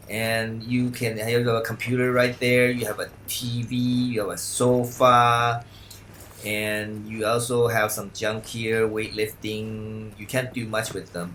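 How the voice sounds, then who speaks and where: A man narrates a video game walkthrough off the cuff. His delivery is slow and halting, with a middling pitch. Faint music plays underneath his voice. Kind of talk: unscripted walkthrough commentary.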